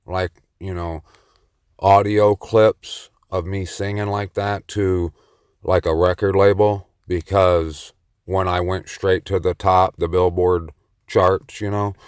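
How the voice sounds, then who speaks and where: Male vlogger, low and unhurried, clear close dry voice, cocky rambling brag. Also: flat delivery, no room sound. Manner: boastful and playfully cocky; slow, meandering delivery. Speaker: solo vlogger on the mic. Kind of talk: rambling self-recorded monologue.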